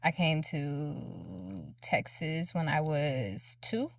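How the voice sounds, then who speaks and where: A woman's voice: low-pitched, big pitch swings, drawn-out words, gravelly, dry recording, clean studio sound, recorded from a distance. A woman from North Carolina, born in Ethiopia, chatting informally in short phrases as an interview guest. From born in Ethiopia, grew up in North Carolina. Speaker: interview guest, behind-the-scenes creative. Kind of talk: casual chat.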